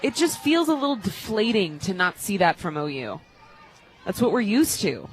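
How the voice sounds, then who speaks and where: Female radio analyst for live softball, medium pitch, conversational and explanatory, faint music behind. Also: very animated, moderate pace. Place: radio booth at a softball stadium.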